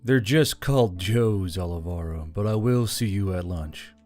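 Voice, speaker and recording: A man's voice: low-pitched, a little slow, darkish tone, soft background music, voice pushed down. A man voicing a wry, tender military warlord in an audiobook story. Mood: teasing, tender.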